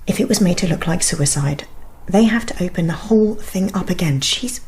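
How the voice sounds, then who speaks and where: A woman's voice: lowish voice, brisk, a little mellow, natural voice. A woman with a British accent playing an earnest, insistent wife in a naturalistic radio drama, urgent and intense. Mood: urgent, accusing. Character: earnest, insistent young wife, convinced and pressing.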